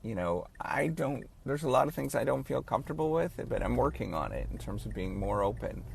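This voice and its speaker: A man in his late thirties who stutters, giving halting, earnest encouragement in a casual spoken monologue as a professor. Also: mid-range voice, big pitch swings, creaky, breathy edge. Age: late 30s.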